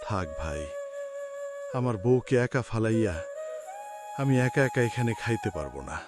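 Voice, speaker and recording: Man acting a low, sorrowful poor Bangladeshi husband. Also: expressive, normal speed, natural voice. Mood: hurt, resigned. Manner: plaintive, sorrowful, pleading. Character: despairing, proud, poor middle-class husband. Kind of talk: dramatic cartoon story acting. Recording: loud music bed under voice. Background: music.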